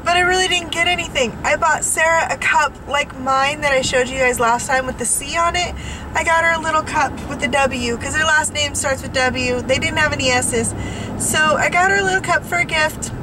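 A woman's voice: high voice, brisk. A woman in her forties chatting bubbly and rambling to her viewers while driving, a little sniffly from allergies, recorded in a car on a dashboard camera. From California. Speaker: vlogger. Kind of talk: casual chat while driving. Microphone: dashboard camera mic. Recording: road noise behind voice.

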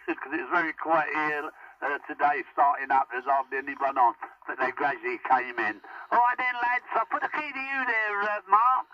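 A man's voice: high voice, sharp tone. A man heard over CB radio, making casual banter with other operators. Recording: distant, noisy CB radio reception.

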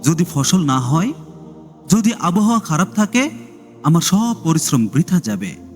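A man's voice: fairly high pitch, very animated, a little slow. A man voicing an honest, anxious young man who frets and overthinks in a story. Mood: worried. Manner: worried, fretful. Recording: long reverb, music behind.

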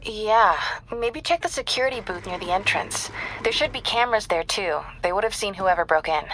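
An actress playing a young female investigative reporter in a video game, a sharp, helpful ally who talks in a conversational, matter-of-fact way. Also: medium pitch, expressive, moderate pace, a little hiss or hum, natural voice, rough recording. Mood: inquisitive. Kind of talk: naturalistic video game acting.

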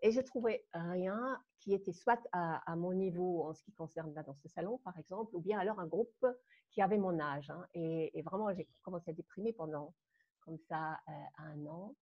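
A woman in her fifties from Germany, speaking with a German accent, tells her story as a guest on a video call. She talks in an animated way, hesitates often and laughs between phrases. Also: lowish voice, normal speed. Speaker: guest dance teacher. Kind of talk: personal storytelling on a live call. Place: home room. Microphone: webcam on a video call. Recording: muffled video call audio.

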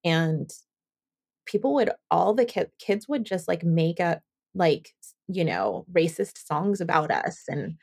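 A podcast host, a woman from Southern California, chatting in a loose, rambling way. Her voice sits a little low and carries a steady gravelly fry. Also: a little slow. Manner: rambling, thinks aloud with many fillers. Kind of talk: casual interview chat.

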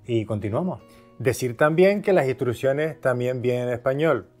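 A man's voice: mid-range voice, normal speed, darkish tone, faint music behind. A man from Spain giving a casual, conversational product review, explaining things by asking and answering his own questions. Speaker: video host, product reviewer.

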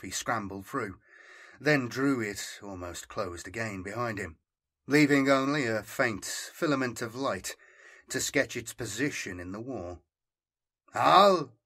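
A man's voice: mid-range voice, expressive, normal speed, fairly bright tone. Man narrating an audiobook story. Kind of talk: scripted fiction narration.

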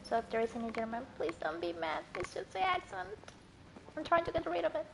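Woman streamer, chatty and casual, gravelly edge, loud game audio behind. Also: on the high side, sing-song, brisk, background noise. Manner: chatty, answers viewers between gameplay. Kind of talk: casual chat while playing. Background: game sounds.

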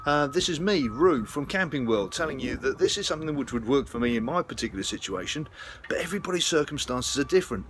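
A middle-aged man with a British accent giving a chatty, rambling walk-through pitch to camera as a salesman. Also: animated, fast talker. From United Kingdom. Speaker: RV salesman presenting a vlog. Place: RV sales lot, outdoors and inside trailers. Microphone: phone at arm's length. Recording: handheld recording with clear ambient noise.

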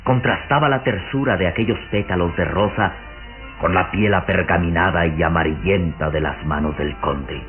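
Very deep male radio-serial narrator. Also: normal speed, soft background music. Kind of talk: dramatic scripted narration for Mexican radio serial. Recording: muffled old radio recording.

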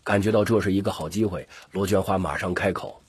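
A man with a gravelly, husky voice narrating an audiobook story expressively. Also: low voice, fairly even intonation, fast talker.

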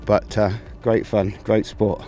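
A man in his thirties talks through his fishing setup on an outdoor riverbank, relaxed and unhurried, with frequent ums. His voice sits fairly low and sounds mellow and soft-edged. A faint music bed plays beneath him. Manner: relaxed, explains gear step by step with many fillers. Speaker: angling presenter.

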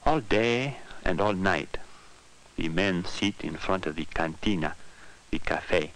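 A man narrating an educational film in simple scripted sentences, telling it like a story in measured, deliberate phrases. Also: low voice, a bit bright, slight background noise, poor audio. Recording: 1960s educational film soundtrack with music. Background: guitar music.

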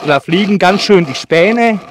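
A man in his thirties demonstrating a saw outdoors in casual talk, a bit out of breath, with many fillers and pauses. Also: high voice, expressive, background noise. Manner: chatty, filler-heavy, pauses while sawing. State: slightly out of breath from sawing. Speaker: bushcraft channel host. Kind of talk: casual product demo. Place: outdoors in a leafy meadow by trees. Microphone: far camera on a tripod.

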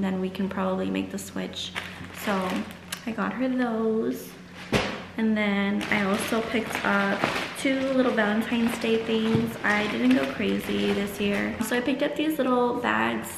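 A woman's voice: medium pitch, creaky, echoey room, a little hiss or hum, rough recording. A young woman chatting casually and informally to viewers in a vlog, sprinkling her talk with "like". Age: young adult. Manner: chatty, informal, heavy use of "like". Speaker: vlogger. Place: small room at home, indoors.

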